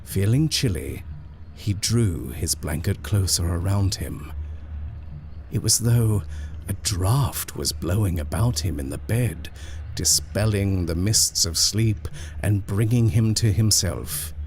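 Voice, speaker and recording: A man reading a ghost story aloud in a measured, hushed storytelling manner. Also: low voice, animated, slight background noise. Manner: slow, measured, hushed at tense moments. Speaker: narrator. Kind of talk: scripted horror story reading.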